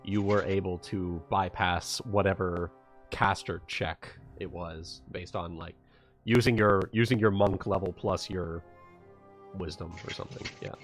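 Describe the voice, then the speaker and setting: A man narrating a live tabletop roleplaying session as game master, halting as he searches for words. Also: deep voice, steady tone. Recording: music playing behind the voice. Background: background music.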